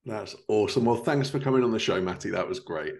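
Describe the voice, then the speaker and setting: A man's voice: lowish voice, animated, quick pace, a little mellow. A man in his thirties hosting a casual interview, with an informal, affirming way of talking. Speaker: podcast host. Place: living room at home, indoors, video call.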